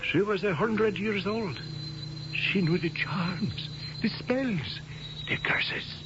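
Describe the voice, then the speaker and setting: An actor playing a reverent, mystical manservant in a radio drama, telling tales in solemn, measured, archaic phrases. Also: male voice, sits high. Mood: awed storytelling. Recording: dull, noisy old radio recording.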